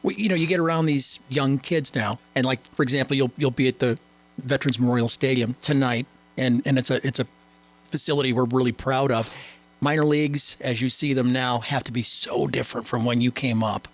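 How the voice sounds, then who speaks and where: A man's voice: mid-range voice, steady tone, fairly quick. A man hosting a radio show, asking long, winding questions and restarting his phrases often. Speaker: radio host. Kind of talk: radio interview.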